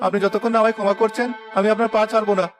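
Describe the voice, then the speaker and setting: Man acting as headstrong young weaver son, high voice, rapid emphatic delivery, village cartoon drama. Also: level tone, faint music behind, lightly acted. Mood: remorseful, pleading. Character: proud, hot-headed young weaver son, later remorseful. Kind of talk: Bengali moral-story cartoon acting.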